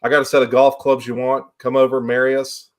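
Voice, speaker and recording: Man, forties, average pitch, livestream host, casual storyteller, plainspoken. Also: completely flat delivery, fairly quick.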